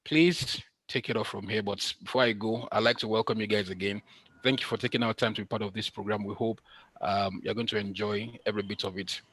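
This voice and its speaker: A man giving a formal, somewhat halting welcome to an event. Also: fairly low pitch, fairly even intonation, fairly bright tone, slightly rough, dry recording, rough recording. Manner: halting, hesitant. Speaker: event opener.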